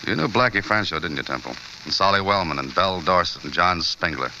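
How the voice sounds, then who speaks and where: A man's voice: sits low, quick pace, dull audio, full character voice. A man acting a terse, brooding American newspaperman in an old radio drama, wry and pressing in his questions. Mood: menacing, sardonic. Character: hard-boiled, brooding newspaperman investigating a young woman's death. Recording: noisy old radio recording, steady hiss.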